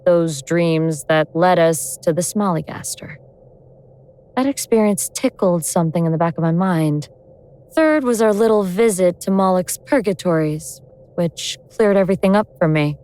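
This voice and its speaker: Vengeful ancient goddess in human form, played by a woman: lower register, wry, world-weary, scornful, audio drama. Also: dark tone, natural voice, rough recording. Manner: sardonic, world-weary, deliberate explaining.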